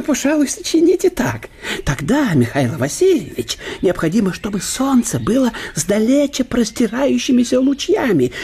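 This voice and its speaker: A fawning courtly old professor. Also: male voice, extremely high pitch, darkish tone, full character voice. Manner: obsequious, hesitant with fillers. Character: fussy courtly German professor, older man. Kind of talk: radio play acting.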